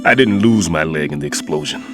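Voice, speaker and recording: A man playing a grave, remorseful middle-aged bomb disposal veteran in a video game cutscene, speaking in a solemn, deliberate way. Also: very deep voice, animated. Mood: anguished, remorseful. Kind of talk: dramatic game cutscene acting. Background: background music.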